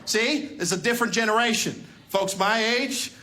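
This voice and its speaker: A man in his fifties doing a stand-up comedy set on a club stage, rambling and repeating himself, getting animated as he acts out stories. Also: high voice, moderate pace, a little hiss or hum. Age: 50s. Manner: rambling, repetitive, animated when acting out stories.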